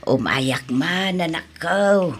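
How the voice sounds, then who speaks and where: A woman's voice: lowish voice, animated, takes it slowly, faint music behind. A woman with an Ilocano accent playing a headstrong widowed mother in a comedy drama, pleading and emphatic. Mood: plaintive. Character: headstrong widowed mother insisting on marrying a younger man. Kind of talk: Ilocano comedy radio-style drama acting.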